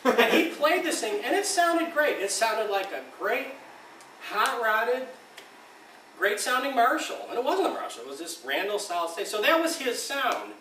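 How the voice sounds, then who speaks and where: High, bright-voiced man telling an anecdote. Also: big pitch swings, normal speed, a little hiss or hum. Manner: rambling, laughs often, acts out quotes. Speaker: amp designer recounting a story. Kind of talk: casual anecdotal storytelling. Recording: roomy and distant with faint ambience.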